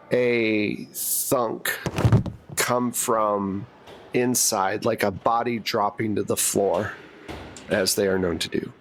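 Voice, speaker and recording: Slow-paced man running a tabletop game. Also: medium pitch, a bit bright, a little hiss or hum. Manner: casual, joking asides. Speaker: game master. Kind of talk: narrating a tabletop roleplaying game.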